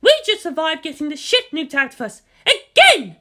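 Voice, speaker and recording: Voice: high-pitched, big pitch swings, normal speed, bright, forceful tone, pitched above the performer's own voice, exaggerated voice. A narrator voicing a fierce, defiant female military commander who swears and snaps in anger. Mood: exasperated, defiant.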